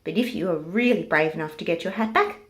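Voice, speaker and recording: A woman's voice: lowish voice, fast, close to an everyday voice. A woman voicing a hungry, sly crocodile with a teasing drawl in a children's storybook.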